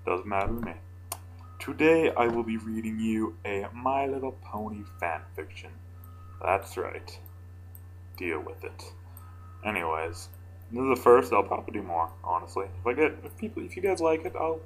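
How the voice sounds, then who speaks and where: A man with a deep voice reading fan fiction casually, informal and self-deprecating, stumbling now and then. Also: lively intonation, moderate pace, a little hiss or hum, low-quality recording. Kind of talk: casual amateur story reading with asides.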